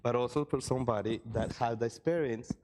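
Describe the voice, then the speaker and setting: A man's voice: mid-range voice, fairly even intonation, brisk, darkish tone, slight background noise. A man from Costa Rica giving an informal presentation, speaking in a loose, somewhat hesitant way. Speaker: session presenter, migration researcher.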